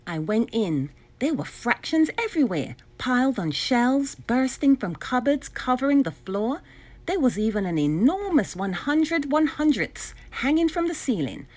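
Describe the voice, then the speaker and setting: A woman reading a children's storybook aloud expressively, enunciating clearly with dramatic emphasis. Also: high-pitched, big pitch swings, measured pace, darkish tone. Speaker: storybook reader.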